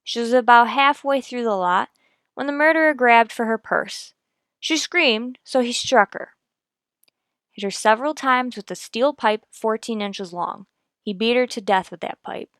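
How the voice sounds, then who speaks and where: A woman's voice: on the high side, measured pace, a bit bright, no echo, studio quality. A woman playing a coolly methodical police detective sergeant in a radio crime drama, clipped and matter-of-fact. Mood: pressing, accusing.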